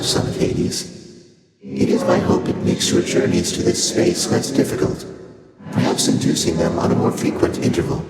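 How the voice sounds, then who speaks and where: A man voicing an ancient, serene, inscrutable primordial being in a video game, speaking in a measured, stately, archaic formal manner with otherworldly echoing processing. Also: deep voice. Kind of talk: scripted video game character dialogue. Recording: echoey cavernous reverb, loud music behind.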